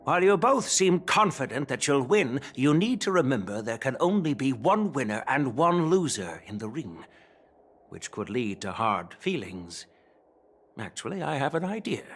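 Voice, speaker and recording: A man voicing a dignified elderly general who speaks in a measured, courteous and stately way, recorded as a studio game dub. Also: medium pitch, sing-song, a bit bright, breathy edge. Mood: stern.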